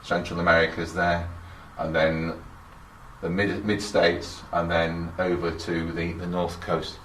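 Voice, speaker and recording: A man's voice: low voice, little pitch movement, a little slow, fairly bright tone. A middle-aged or older man giving an informal guided technical tour in a matter-of-fact, explanatory way. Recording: roomy echo with faint background noise.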